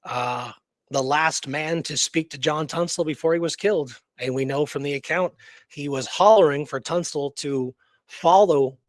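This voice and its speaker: A man co-hosting a history show, talking off the cuff in a halting way with emphatic repetition. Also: on the high side, dry recording. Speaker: co-host of a history radio show. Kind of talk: unscripted history discussion.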